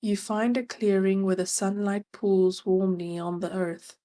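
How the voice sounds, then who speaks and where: A woman narrating a guided meditation as its guide, gentle and soothing, leaving long pauses between phrases. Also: mid-range voice, fairly even intonation.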